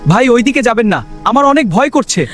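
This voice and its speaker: A man's voice: extremely high pitch, expressive, fairly quick, a bit bright, faint music behind, voice pushed up. A man voicing a fearful village man in a horror cartoon, anxious and pleading. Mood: frightened. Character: nervous, fearful village man on a night road.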